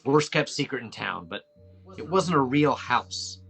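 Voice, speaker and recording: An actor reading a laid-back, guarded small-town policeman in a film script, casual, halting and folksy. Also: mid-range voice, lively intonation, gravelly, a bit bright. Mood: guarded, confiding. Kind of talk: table read of a film script.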